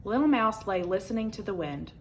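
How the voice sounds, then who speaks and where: A woman reading a children's picture book aloud as an expressive storyteller. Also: mid-range voice, a little fast, a little hiss or hum.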